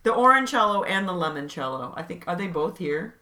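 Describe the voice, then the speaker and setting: A woman's voice: fairly low pitch, very animated, fairly quick, bright, forceful tone. A woman co-hosting a podcast, chatting in a giggly, playful way. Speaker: podcast co-host. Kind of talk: casual chat and story reading.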